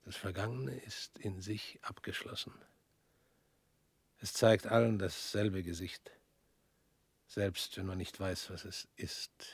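An actor in a radio play voicing a weary former prosecutor, measured and probing, sometimes dropping to a near whisper. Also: male voice, sits low, fairly even intonation, slightly rough, no room sound, heavily acted.

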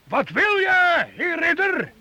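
An actor playing a gruff, defiant medieval master craftsman in a Dutch radio play. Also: very high-pitched, big pitch swings, slow, sharp tone, heavily acted. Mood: wary, taunting.

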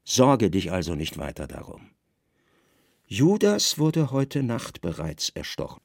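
A weary Roman governor played by a man, quietly commanding and grave. The voice is low, gravelly and measured, recorded very dry for a radio play. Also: huge pitch swings, dry recording, exaggerated voice. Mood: cold, dry.

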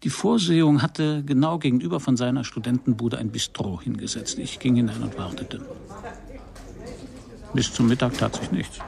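An actor playing a wry, hard-boiled private detective in a radio crime play, with a dry, laconic delivery. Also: male voice, medium pitch, normal speed, creaky, a little mellow. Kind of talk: first-person crime radio play narration and dialogue.

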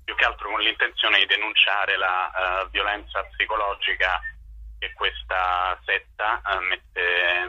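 Male interview guest, low-leaning and very bright voice, hesitant phrasing, dull telephone sound. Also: dry recording. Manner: hesitant, searching for words. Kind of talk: spontaneous interview answers. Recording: dull, lo-fi phone line.

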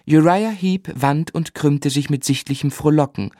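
An actor in a German radio drama playing an earnest gentleman who narrates his own story in measured, literary phrases. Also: a little high, no room sound, clean studio sound, lightly acted. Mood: grave, observant.

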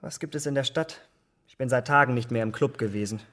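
A man acting a troubled, impulsive young English gentleman in a German radio drama. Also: mid-range voice, fast, lightly acted. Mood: distressed.

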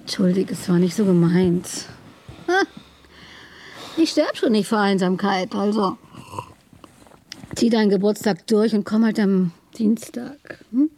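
A woman's voice: medium pitch, a little slow, pauses often, darkish tone, slight background noise, natural voice. An actress playing a teasing, sarcastic young female lab assistant in a radio drama.

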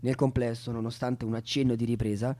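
A man performs as a stage narrator. He recites in a theatrical, dramatic style, with a voice of medium pitch for a man. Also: little pitch movement, fairly quick, mellow.

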